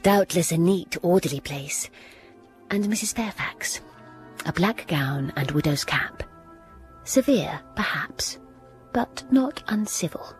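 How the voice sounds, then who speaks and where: An actress playing a reserved young governess, narrating in a soft, restrained voice in a radio drama. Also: female voice, lowish voice, expressive, slow. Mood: reflective. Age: young adult. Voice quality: soft, restrained, often near a whisper. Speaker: narrator and lead. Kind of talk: first-person drama narration. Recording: narration over music score.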